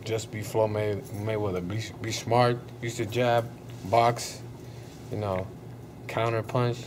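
A young man from Sweden, a boxer, giving short, relaxed answers in a casual interview inside a hotel hall. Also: lowish voice, slow pace. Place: hotel event hall indoors. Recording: noticeable background noise.

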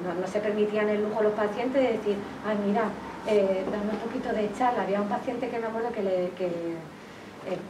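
A woman in her forties from La Mancha with a Castilian Spanish accent, chatting to an audience as a nurse and author, speaking through a face mask. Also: mid-range voice. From Valdepeñas, Castile-La Mancha, Spain. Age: 40s. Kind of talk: conversational public talk with anecdotes. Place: indoors, public library reading area. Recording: echoey room, distant microphone, clear background noise.

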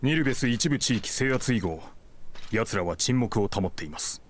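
A man's voice: mid-range voice, animated, moderate pace, lightly acted. An actor playing a polite male officer who gives a formal, polite report.

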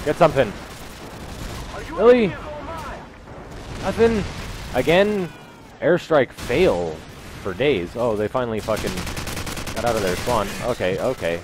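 A man's voice: medium pitch, expressive, a little slow, long pauses, background noise. A young man giving casual, foul-mouthed live gameplay commentary as a video host, talking into a headset mic. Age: young adult. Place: small room indoors. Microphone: gaming headset mic. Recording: loud game gunfire behind voice.

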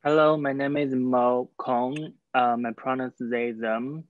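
A researcher and sculptor giving a careful, halting self-introduction on a video call. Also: medium pitch, fairly even intonation. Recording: dry, dull video call sound.

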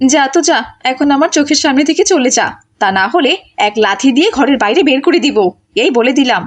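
Cartoon mother: high, shrill, theatrical, short-tempered and self-absorbed. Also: expressive, moderate pace. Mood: scolding. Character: selfish, short-tempered, livestream-obsessed mother.

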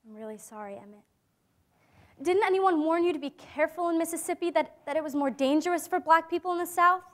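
A young woman in a staged reading, playing a gentle, hopeful teenage girl, with earnest, measured, articulate speech. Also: very high-pitched. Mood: sympathetic, concerned. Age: young adult. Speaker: actor in a dramatic reading. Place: theatre-like stage in a conference hall. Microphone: lapel.

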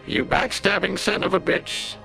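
A man voicing a frightened, flustered older male school superintendent with a shrill, strained voice and panicky outbursts. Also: very animated, unhurried, creaky, sharp tone, soft background music, full character voice, low-quality recording. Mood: furious.

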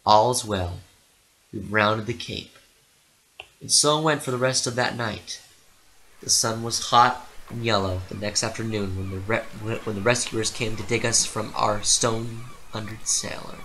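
Male narrator, lowish pitch, bright forceful tone, dramatic literary storytelling, theatrical phrasing. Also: moderate pace, slight background noise, low-quality recording.

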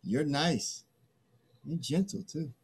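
A man's voice: on the high side, slow, breathy edge, exaggerated voice. A middle-aged man playing a boastful, stubborn early human in a dramatic play reading. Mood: awkward, eager. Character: first Man, boastful, greedy, stubborn, awkward.

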